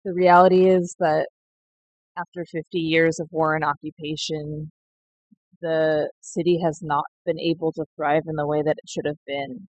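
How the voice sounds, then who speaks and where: A young woman speaking slowly and thoughtfully, her low voice staying level with little rise and fall. The sound is close and dry, with no room around it. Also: flat delivery, dry recording, rough recording. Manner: slow, reflective, heartfelt. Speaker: seed collective member. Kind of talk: recorded personal reflection.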